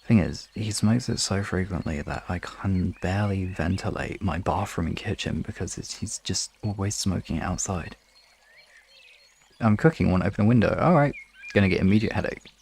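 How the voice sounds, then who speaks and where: A man's voice: sits low, normal speed, vocal fry, a little mellow, husky. A man chatting casually with his audience in an informal, rambling way, swearing now and then. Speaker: ASMR streamer chatting with an audience. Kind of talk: casual chat, reading and answering comments.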